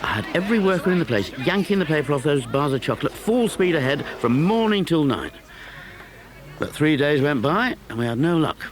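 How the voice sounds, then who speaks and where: A man's voice: fairly high pitch, sing-song, fairly quick. An actor playing a boastful, doting, rich factory-owning father in an audio drama, with blustering delivery. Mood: boastful, exasperated. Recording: noisy crowd ambience behind.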